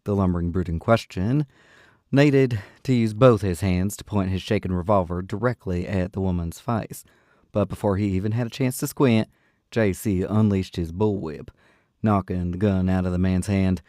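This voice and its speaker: A man's voice: low-pitched, moderate pace, dark tone, dry recording, clean studio sound. A man who works as an audiobook narrator and panel host, speaking expressively.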